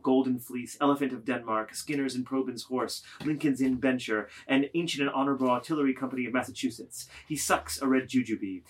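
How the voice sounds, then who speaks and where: Husky male narrator of a play. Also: mid-range voice. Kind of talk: scripted dramatic narration of stage directions.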